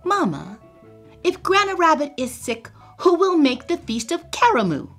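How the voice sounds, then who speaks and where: Woman reading as a little boy bunny, storybook figure, high squeaky voice, lively and eager. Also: a little slow, faint music behind, exaggerated voice. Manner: high, bright and pleading. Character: little boy rabbit, youngest, eager and earnest.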